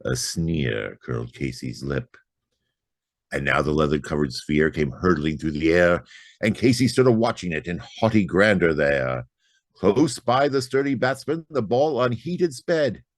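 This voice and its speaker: Man, very deep voice, dramatic poetry recitation, theatrical emphasis, highly animated intonation, dry sound. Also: measured pace, no echo. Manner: theatrical, emphatic delivery with big dynamic swings. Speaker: poetry reader. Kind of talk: dramatic recitation of narrative verse.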